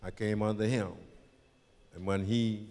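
A man in his eighties with a low voice tells his story plainly and steadily. He speaks into a handheld mic in a community hall, and a long echo hangs after his words. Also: fairly even intonation, measured pace, darkish tone. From New Orleans, Louisiana. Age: 80s. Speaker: panel guest, Mardi Gras Indian chief. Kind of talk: telling a personal story to an audience.

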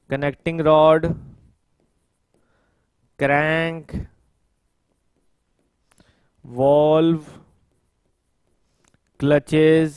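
A man in his thirties teaches at a studio whiteboard, speaking into a headset mic. His voice sits a bit high for a man, and the sound is close and dry with no room echo. He explains patiently and drags out technical terms while he writes. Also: a little mellow, smooth. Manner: explains step by step, repeats key terms slowly while writing; mixes English technical terms into Hindi speech. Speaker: exam coaching teacher. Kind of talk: whiteboard lecture.

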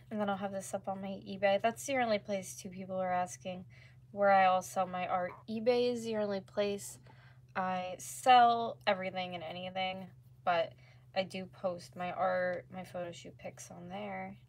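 A woman in her twenties with a slightly hoarse voice, chatting casually as she livestreams crafts from home. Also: mid-range voice, fairly even intonation, normal speed. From North America. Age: 20s. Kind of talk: casual chat while painting. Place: small room at home, indoors. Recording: clearly noisy home livestream recording.

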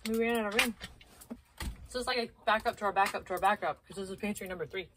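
A woman's voice: medium pitch, fast, noisy background. A woman in her thirties talking casually and practically as she organizes a home pantry, thinking aloud, recorded by a camera a few feet away. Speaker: prepper vlogger. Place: small home pantry with shelves, indoors. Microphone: camera on a low shelf, a few feet away.

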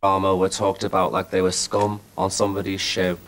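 A man's voice: deep voice, completely flat delivery, brisk, loud music behind the voice. A man, a band member, speaking frankly and bluntly in a documentary interview.

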